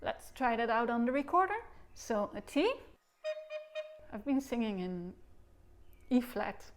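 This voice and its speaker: A woman in her thirties with a Dutch accent, teaching recorder technique from her sofa and sounding out the syllables slowly as she demonstrates. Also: on the high side, sing-song, over music. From Netherlands. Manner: demonstrates syllables by singing and speaking them slowly. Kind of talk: instructional video lesson. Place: living room, seated on a sofa.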